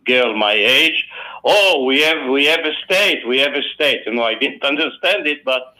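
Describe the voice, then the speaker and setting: Older Israeli-accented author on a phone line, bright tone, halting delivery. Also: male voice, medium pitch, lively intonation. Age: 70s. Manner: halting, many fillers and restarts. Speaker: author guest. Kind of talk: phone interview.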